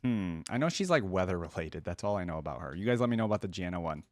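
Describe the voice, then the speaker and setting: A man's voice: low voice, expressive, quick pace, darkish tone, no room sound. A man hosting a reaction video with casual, conversational commentary, thinking aloud as he goes.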